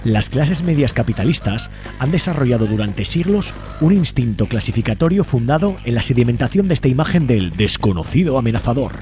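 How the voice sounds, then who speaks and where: A man's voice: medium pitch, very animated, brisk, darkish tone. A man Spain, presenting a live community radio show as its host a studio microphone. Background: music.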